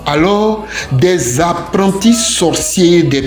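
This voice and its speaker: Man, highish animated voice, emphatic scripted commentary, repetitive list-like phrasing. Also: slow. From Côte d'Ivoire. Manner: emphatic, declarative, repeats phrases in lists. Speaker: civic commentator. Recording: roomy echoing voice track with music. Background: music.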